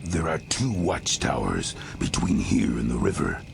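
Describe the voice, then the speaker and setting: An extremely deep, gravel-throated menacing soldier in a video game cutscene. The delivery is grim and threatening, over clearly noisy ambience. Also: male voice, sing-song, unhurried, full character voice. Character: menacing male soldier hunting thieves.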